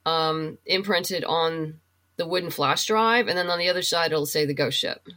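A woman's voice: deep voice, moderate pace, sharp tone. A woman talking casually and enthusiastically as a guest in an interview, in a chatty way. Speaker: guest, writer and audio drama producer.

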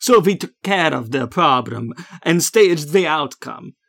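A man acting as a composed vampire woman in an amateur audio story. The voice sits a little high for a man and explains things in a dry, casually sweary way, with a short fuse underneath. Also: lively intonation, unhurried, a bit bright, full character voice. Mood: matter-of-fact. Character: calm, matter-of-fact female vampire with a short fuse.

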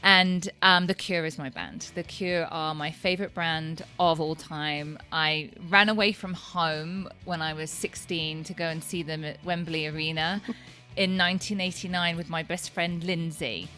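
A woman's voice: sits low, steady tone, unhurried, pushed and bright, soft background music. A woman in her fifties with an English accent, chatting casually and effusively as an interview guest. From East Anglia, England. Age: 50s. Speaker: interview guest, sober coach. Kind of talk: casual chat.

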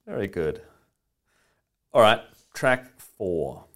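A man's voice: on the low side, sing-song, very slow, fairly bright tone. A man hosting a casual conversational interview, speaking in short, encouraging prompts. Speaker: podcast interviewer.

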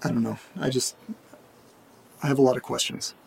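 A man acting as an uneasy, curious character alone at night in a creepy house, in a halting audio drama monologue, muttering to himself with a husky voice. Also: medium pitch, steady tone, darkish tone, a little hiss or hum. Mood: troubled, puzzled.

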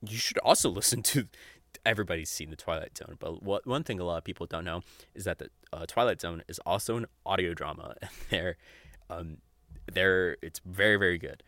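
A man's voice: low voice, fairly quick, bright, forceful tone, dry recording. A man in his twenties hosting a podcast, talking informally and unscripted with some swearing and frequent chuckling. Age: 20s. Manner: informal, profane, chuckles often while talking. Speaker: podcast host. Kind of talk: casual, unscripted podcast recommendations.

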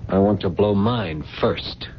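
An actor playing a cold, determined, scheming grown son in a radio drama. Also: male voice, on the low side, unhurried, natural voice. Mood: cold, urgent. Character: scheming, hard-edged grown son plotting murder. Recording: dull, noisy 1970s radio broadcast.

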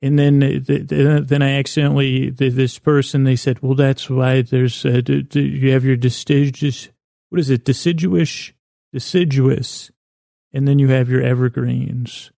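A man hosting a bedtime podcast in a meandering, halting monologue, repeating and tripping over words, whimsical and self-deprecating, with a creaky voice. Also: mid-range voice, level tone, warm tone, no room sound, studio quality. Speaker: podcast host.

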